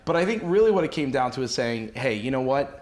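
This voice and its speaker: Enthusiastic man pitching in a casual interview. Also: very animated, fast, noisy background. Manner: enthusiastic, salesy. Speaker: guest, animation and comics producer promoting a crowdfunding campaign. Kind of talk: casual promotional interview chat.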